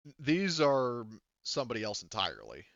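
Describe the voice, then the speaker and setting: A man's voice: mid-range voice, wildly swooping pitch, moderate pace, vocal fry, a bit bright, no room sound, muffled recording. A man acting as game master of a tabletop sci-fi roleplaying game, improvising and thinking aloud in a casual way.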